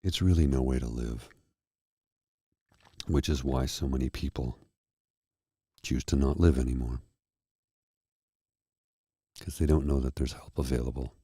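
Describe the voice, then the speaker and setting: A tired man with a husky, slightly froggy throat, hosting his own show and talking earnestly and slowly to his viewers. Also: very deep voice, warm tone, no echo, clean studio sound. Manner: slow, earnest, heartfelt. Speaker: host. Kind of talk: candid personal talk to viewers.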